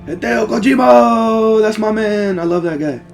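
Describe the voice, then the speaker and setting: A man giving casual, rambling, profanity-laced gaming commentary with excitable fan talk. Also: sits high, a little slow, vocal fry, soft background music. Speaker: let's play host.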